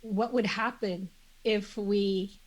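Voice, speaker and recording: A slow-speaking woman guest sounds congested. She talks earnestly and in measured phrases, often pausing on fillers and restarting as she builds her point. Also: mid-range voice, fairly even intonation, rough recording. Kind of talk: reflective conversational interview.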